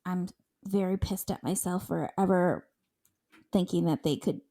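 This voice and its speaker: A woman co-hosting a podcast, giving blunt, giggly takes in casual chat with a high voice. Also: fairly even intonation, unhurried, mellow. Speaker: podcast co-host.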